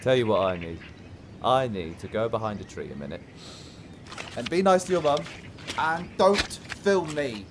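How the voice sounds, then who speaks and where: A man's voice: mid-range voice, big pitch swings, moderate pace, noisy background, natural voice. An actor playing a teasing, wistful young countryside man, blunt and informal, in an audio play. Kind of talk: naturalistic audio play dialogue.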